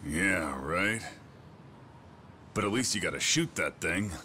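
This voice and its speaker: An actor playing a crude, hot-tempered low-rank gang thug in a dubbed video game, profane and sneering, with a gravelly voice. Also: male voice, animated, slow pace, pushed and bright, slight background noise, exaggerated voice. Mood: fed up. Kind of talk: English dub video game cutscene acting.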